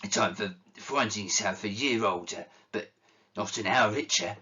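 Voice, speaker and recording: Gruff old miser, low, curt and sneering, read by a man, dull lo-fi recording. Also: moderate pace, pushed and bright. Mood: indignant.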